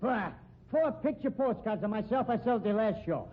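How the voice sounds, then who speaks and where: High-voiced, indignant Italian sword swallower. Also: male voice, animated, normal speed. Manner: indignant, self-important, theatrical. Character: vain, aggrieved male Italian sideshow sword swallower. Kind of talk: 1950s radio drama acting. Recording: muffled narrow-band old radio recording.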